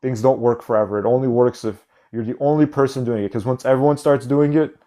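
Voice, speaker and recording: A man's voice: medium pitch, fairly even intonation, fast, mellow. A man hosting a live call-in stream, blunt and impatient, cutting callers off mid-sentence. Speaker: livestream host taking callers.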